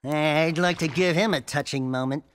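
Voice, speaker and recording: A man's voice: a little high, normal speed, fairly bright tone, lightly acted. An actor voicing a cocky, wisecracking small male ottsel sidekick with a nasal voice and jokey street talk. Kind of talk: video game cutscene acting.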